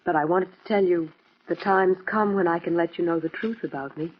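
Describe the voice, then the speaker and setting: A woman's voice: fairly low pitch, fairly quick, close to an everyday voice. A mysterious femme fatale acted by a woman in old radio noir. She is composed and enigmatic, with a controlled delivery. The sound is muffled and dull. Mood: calm, secretive. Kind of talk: 1950s radio noir acting. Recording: muffled vintage radio recording.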